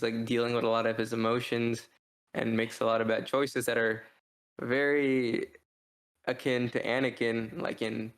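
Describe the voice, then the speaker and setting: A man co-hosting a podcast, talking through a book review in a casual, rambling, halting way as he thinks out loud. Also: medium pitch. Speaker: podcast co-host.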